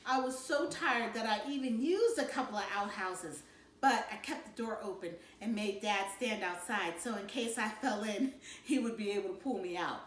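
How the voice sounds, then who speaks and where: A woman reading a children's novel aloud in an animated, dramatic storytelling manner. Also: mid-range voice, normal speed. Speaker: read-aloud narrator. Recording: roomy, distant mic, faint noise.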